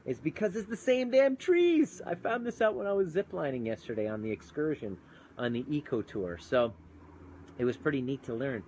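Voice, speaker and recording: A man's voice: a little high, very animated, moderate pace, mellow, slight background noise, poor audio. A man, a chiropractor turned coach, talking earnestly and chattily on a live phone video outdoors with mountains in view. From Canada. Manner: earnest, chatty, greets viewers mid-thought. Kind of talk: live-streamed casual talk.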